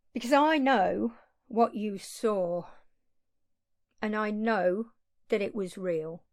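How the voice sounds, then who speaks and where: A woman playing an earnest, blunt, long-lived vampire in an intimate audio drama, speaking haltingly and pleadingly with dramatic pauses. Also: fairly high pitch, a little mellow, no room sound, clean studio sound. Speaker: voice actor.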